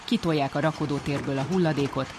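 A woman's voice: sits low, background noise. A woman reporter reading scripted narration for a radio feature.

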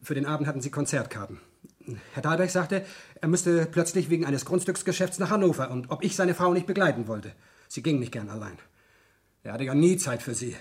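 An actor playing a cornered, guilt-ridden office clerk in a 1970s German radio crime drama, speaking in a halting, pleading manner. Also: male voice, a little high, lively intonation, husky. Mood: defensive, uneasy.

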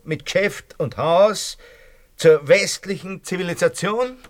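A man acting a put-upon, excitable tavern keeper with a Viennese accent in a radio play, grumbling, stammering over repeated words and turning comically pompous. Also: sits high, a little slow. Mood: incredulous, hopeful. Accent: Viennese Austrian.

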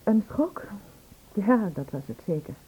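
A woman's voice: medium pitch, big pitch swings, unhurried, slightly rough, soft background music. An actress playing an anxious woman in a radio play, speaking in a hesitant, confiding way. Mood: shaken, worried. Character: anxious, confiding woman, shaken witness to a murder. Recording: tinny, narrow-band old radio recording.

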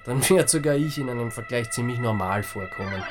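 An actor voices a sardonic Austrian everyman, a reluctant hero with a medium-pitched voice. His delivery is casual and flippant, in the natural style of a modern radio play. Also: fairly quick, music in the background, lightly acted. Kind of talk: radio drama acting.

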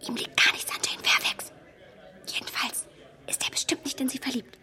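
An actress playing a strong-willed, self-assured young heroine who talks emphatically in a hushed voice, in a radio play. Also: female voice, moderate pace, in a whisper. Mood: indignant.

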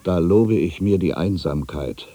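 A man's voice: deep voice, a little slow, soft background music. A man playing a bitter, anxious ageing writer who thinks aloud in a theatrical radio-play monologue, brooding and volatile. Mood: weary, brooding. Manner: brooding, theatrical, from hushed muttering to agitated outbursts. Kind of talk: dramatic first-person monologue, radio play.

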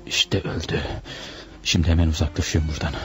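A man's voice: extremely low pitch, flat delivery, fairly bright tone. A male actor in a radio play as a stealthy, calculating hitman posing as a doctor, thinking aloud step by step. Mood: coldly reassuring. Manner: deliberate step-by-step self-narration.

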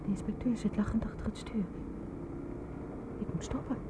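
A woman playing an anxious, determined truth-seeker in a radio drama, by turns pressing and hushed. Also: mid-range voice, steady tone, moderate pace, whispered, lightly acted. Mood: anxious, brooding. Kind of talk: radio drama acting with intimate interior monologue.

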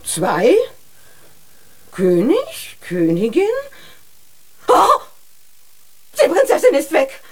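A woman's voice: high voice, wildly swooping pitch, very slow, pauses often, heavily acted. An actress playing a grand, emotional mother who insists she is a queen, in a German children's radio drama. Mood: alarmed. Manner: melodramatic, imperious.

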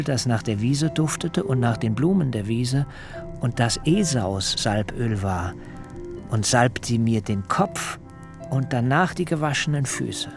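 An actor playing a cautious biblical son who tells his own story in a measured, literary way in a radio drama. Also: mid-range voice. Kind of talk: radio drama acting and first-person narration.

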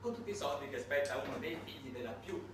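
A man delivering a satirical theatrical monologue in an emphatic, ironic, halting manner. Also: mid-range voice. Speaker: stage monologuist. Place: roomy indoor performance space, far from mic. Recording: distant, echoey, noisy live theatre recording.

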